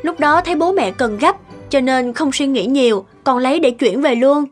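A woman's voice: sits high, moderate pace, soft background music, natural voice. A woman playing an anxious, insistent middle-aged daughter and mother in a cartoon, fretful and questioning. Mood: relieved. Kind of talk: cartoon dialogue acting.

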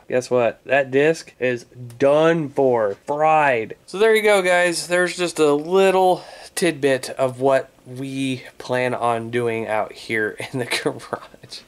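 A man in his thirties with a head cold, chatting casually and excitedly in a garage workshop, often chuckling as he talks to the camera. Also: mid-range voice. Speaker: YouTuber. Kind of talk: casual vlog chat. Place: large cluttered wooden garage workshop, indoors. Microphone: camera mic, handheld selfie framing.

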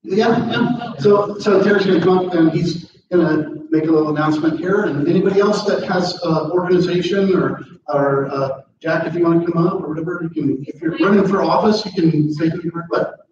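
A man's voice: on the high side, fairly quick, hardly any pauses, noisy background. A middle-aged American man, a state legislator, talking casually to a meeting and rambling with many fillers and restarts. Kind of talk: casual talk with audience questions. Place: large meeting room. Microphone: far camera, no mic. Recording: echoey room, distant and dull.

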